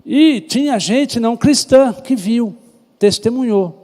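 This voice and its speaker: A man's voice: very high-pitched, lively intonation, slow, dark tone. A man with a Brazilian Portuguese accent, a pastor teaching a Sunday school Bible class in a conversational way, often checking in with the class. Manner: conversational, asks the class questions. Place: church hall. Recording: echoey hall with long reverb.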